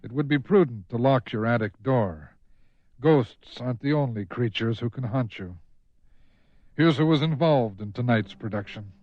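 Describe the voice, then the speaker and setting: A man's voice: mid-range voice, normal speed, highs cut off. Echoing male horror radio host. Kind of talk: scripted, ominous radio narration. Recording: echoing, band-limited radio broadcast with faint music.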